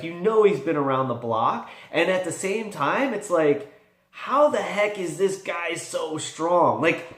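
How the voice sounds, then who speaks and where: High-voiced man vlogging in echoey room. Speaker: tabletop gaming vlogger. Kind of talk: casual, rambling vlog talk.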